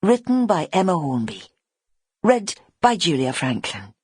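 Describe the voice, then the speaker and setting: Husky woman narrating expressively. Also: fairly low pitch, no room sound. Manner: measured, expressive storytelling. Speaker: audiobook narrator. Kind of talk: scripted dramatic narration.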